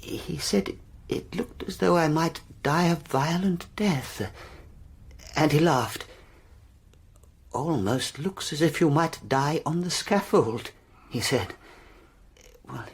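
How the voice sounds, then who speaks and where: An actor playing a nervous, down-on-his-luck war veteran in a radio play, hesitant and plaintive, with a husky, breathy voice. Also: a little high, slow, long pauses, full character voice. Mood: haunted, hushed.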